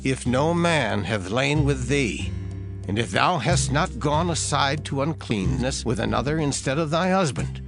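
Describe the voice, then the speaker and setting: A man's voice: mid-range voice, animated, measured pace, creaky. An actor playing a solemn male priest who formally pronounces an oath in a dramatized Bible reading. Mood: grave. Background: music.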